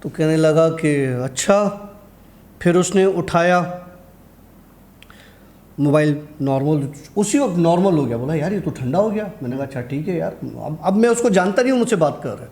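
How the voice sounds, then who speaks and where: A man's voice: a little high, expressive, moderate pace, a little mellow. A man telling a personal horror anecdote in a conversational way, acting out the dialogue as he goes. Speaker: guest storyteller.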